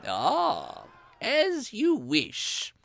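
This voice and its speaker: A storyteller voicing a wise, calm old male wizard in a bedtime story, solemn and declaring. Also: medium pitch, drawn-out words, vocal fry, no room sound, voice pushed up, exaggerated voice. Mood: commanding.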